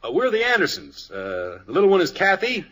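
An actor playing a genial, slightly bumbling family father in an old radio comedy-drama, affable and halting. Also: high-pitched, huge pitch swings, a crowd in the background. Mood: flustered, friendly. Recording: old radio broadcast with audience sound.

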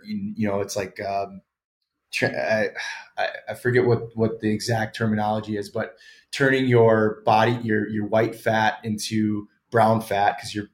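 A man in his thirties, a former pro hockey player, explaining things casually as a podcast guest and hedging his claims. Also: on the low side. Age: 30s. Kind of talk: casual interview chat.

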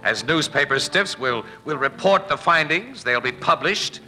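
An actor playing a wry, world-weary newspaper crime photographer in a 1940s radio drama, slangy and sardonic. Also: male voice, on the high side, lively intonation, a little slow, bright, forceful tone, soft background music, heavily acted. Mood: disgruntled.

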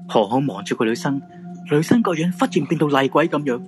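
A man's voice: fairly high pitch, lively intonation, fast, music in the background. Man narrating a Cantonese radio drama. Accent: Hong Kong Cantonese.

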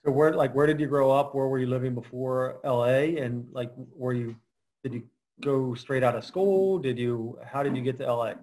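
A man's voice: medium pitch, fairly even intonation, moderate pace, a little mellow, poor audio. A man hosting an interview podcast, talking casually with a lot of fillers and restarts. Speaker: podcast host. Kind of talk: conversational interview.

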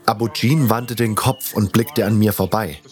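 Erzähler mit eher tiefer Stimme. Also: male voice, on the low side, fairly even intonation, moderate pace, soft background music. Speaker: first-person narrator and protagonist. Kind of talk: scripted fantasy audiobook narration.

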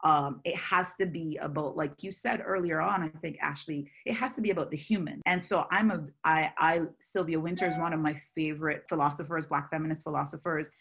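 Woman, very low voice, outspoken, animated, interview guest. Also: brisk, vocal fry, hardly any pauses, slightly rough. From Barbados. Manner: animated and forthright, pushing through long thoughts. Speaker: scholar and guest. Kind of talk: conversational interview.

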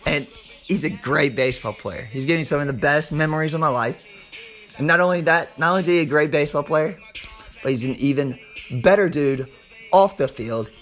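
A man with a Southern US accent and a slightly hoarse voice, hosting an informal sports podcast like a hyped showman, stretching words and repeating phrases. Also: on the high side, normal speed, a bit bright, faint music behind. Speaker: podcast host.

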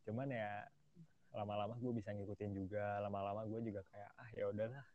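A man's voice: lowish voice, flat delivery, a little fast. A teenage man with a Jakarta Indonesian accent chatting casually as a podcast guest, slangy and self-deprecating. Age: teens. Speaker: podcast guest, music student. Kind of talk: casual chat. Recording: dull, muffled lo-fi audio.

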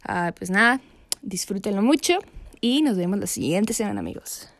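A woman's voice: mid-range voice, sing-song, normal speed, a little hiss or hum. A young woman hosting a casual faith podcast, chatty and full of fillers. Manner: chatty, laughs often, many fillers. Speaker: podcast host.

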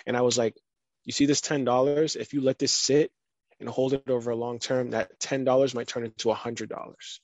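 A man in a casual podcast conversation, talking in a relaxed, rambling way with lots of fillers. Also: mid-range voice, a little fast, dry recording. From United States. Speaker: guest.

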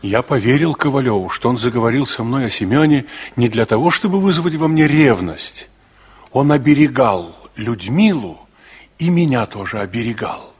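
An actor playing a man who recalls his uneasy youth, narrating a 1960s radio play in a measured, confessional way. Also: mid-range voice, expressive, a little mellow, slightly rough. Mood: pensive.

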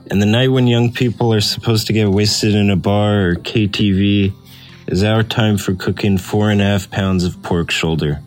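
A man reading a personal essay aloud as scripted narration. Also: on the low side. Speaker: essay reader.

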